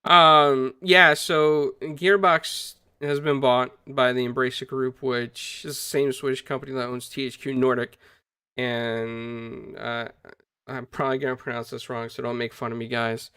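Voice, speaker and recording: A man's voice: medium pitch, flat delivery, measured pace, sharp tone, smooth, no room sound, clean studio sound. A man hosting a casual gaming podcast, upbeat and greeting viewers by name. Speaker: podcast host.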